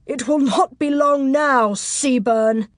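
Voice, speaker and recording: A woman acting out a savage, shouting villain lord. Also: high voice, slow pace, no room sound, studio quality, voice pushed up. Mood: gloating shout.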